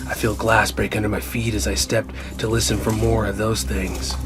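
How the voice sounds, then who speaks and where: A man's voice: lowish voice, flat delivery, moderate pace. A man narrating a first-person horror story as a scripted radio play. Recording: prominent music under the voice.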